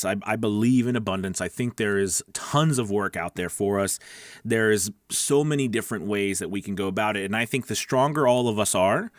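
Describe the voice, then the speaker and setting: A man's voice: lowish voice, no echo, studio quality. A man hosting a live-streamed coaching show for new voice actors, talking in a chatty, rambling way with lots of fillers. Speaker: host.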